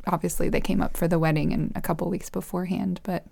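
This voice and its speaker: Low, gravelly-voiced woman guest. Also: flat delivery, fast, darkish tone, no echo. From Costa Rica. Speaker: podcast guest. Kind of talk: casual conversational interview.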